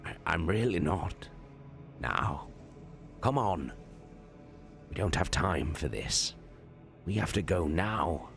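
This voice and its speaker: A man voicing an anxious scholarly man, halting and urgent, in a dramatic audiobook reading. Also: deep voice, very animated, soft background music, exaggerated voice. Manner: halting, stammering, breathy urgency. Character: anxious scholarly iterator, frightened but determined.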